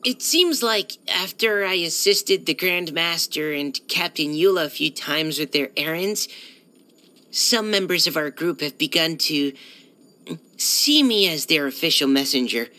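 An actor playing a shy, earnest, polite young knight surveyor in a video game, speaking hesitantly and eager to please. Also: male voice, a little high, expressive, unhurried, pushed and bright. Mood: anxious, hesitant. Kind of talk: scripted video game character lines.

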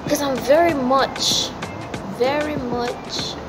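A woman's voice: high-pitched, steady tone, takes it slowly, music in the background. Blunt young Jamaican woman vlogging. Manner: chatty and blunt, mixes Jamaican patois forms. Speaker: vlogger answering viewer questions. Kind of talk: casual Q&A chat. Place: indoors against a plain wall. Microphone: camera or phone at arm's length.

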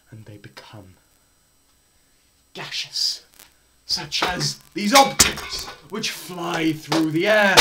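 A young man performing a theatrical scripted lecture, melodramatic, swinging between hushed murmurs and loud cries. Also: on the high side, rough recording. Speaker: presenter performing a dramatised physics lecture. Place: small plain room indoors.

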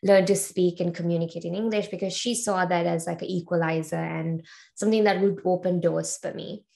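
Young woman from Sri Lanka, slightly low and gravelly, speaking on a webcam call in a home room, thoughtful and hesitant. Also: fairly even intonation, brisk, a little mellow. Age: 20s. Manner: thoughtful, many ums and uhs. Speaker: interview guest, learning experience designer. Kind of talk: casual video call interview. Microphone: video call webcam.

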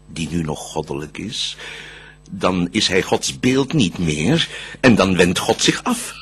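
Slow, forceful, cunning demon. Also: male voice, deep voice, husky, full character voice. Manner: measured, declamatory, sinister. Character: cunning male demon counsellor, spirit of darkness, calculating. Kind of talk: theatrical verse radio drama. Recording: noisy radio play recording with hum.

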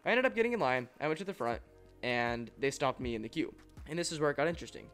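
A young man telling a travel story as a vlog voice-over, in an excited, storytelling way. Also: mid-range voice, fast, soft background music. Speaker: coaster vlogger. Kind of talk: voice-over narration. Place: voice-over, not at the park.

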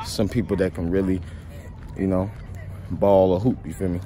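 A man's voice: low-pitched, little pitch movement, moderate pace, mellow. A young man vlogging into a phone held at arm's length as he walks down a street, rambling casually and asking "you feel me" over and over. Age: young adult. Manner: laid-back rambling, keeps saying "you feel me". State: slightly sick with a cold. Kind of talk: casual walking vlog monologue. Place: outdoors on a street on a sunny day. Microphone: phone held low at arm's length. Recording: outdoor street noise behind. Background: passing traffic.

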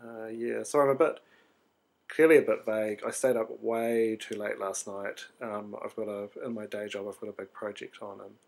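A man's voice: on the low side, flat delivery, moderate pace, gravelly. A man, an artist rambling through a sleepy, hesitant video diary full of ums and restarts. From New Zealand. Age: 30s.

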